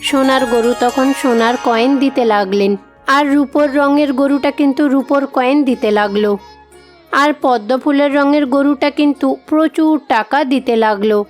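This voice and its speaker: A woman's voice: high voice, normal speed, soft background music. A woman reading scripted narration for a children's story as its narrator.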